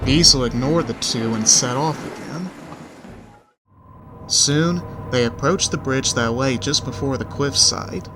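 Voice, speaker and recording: A man's voice: mid-range voice, a little slow. A man narrating a scripted children's story in the third person. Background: background music.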